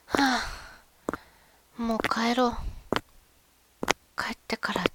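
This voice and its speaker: A woman acting a loving but jealous, suspicious young wife in an amateur radio drama, expressive and often talking to herself. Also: fairly high pitch, very slow, in a whisper. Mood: jealous, hurt. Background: music.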